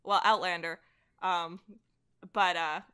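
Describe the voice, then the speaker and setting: A woman podcast guest chatting casually, telling stories in an animated, self-deprecating way with frequent laughter. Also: lowish voice, slow, smooth. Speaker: voice actor. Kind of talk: casual conversational chat about fandom.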